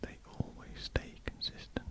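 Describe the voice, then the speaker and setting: A woman with a croaky voice, whispering ear to ear for an ASMR question-and-answer video. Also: normal speed. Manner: soft unvoiced whisper with frequent ums. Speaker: ASMR artist. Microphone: ear-to-ear stereo mic.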